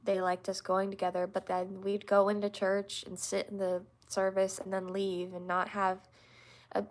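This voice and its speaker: A woman's voice: medium pitch, monotone, normal speed. A young woman who is a little congested, hosting a livestream and talking casually and earnestly about faith, answering viewers with frequent fillers and restarts. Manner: rambling, many restarts and fillers. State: congested from allergies.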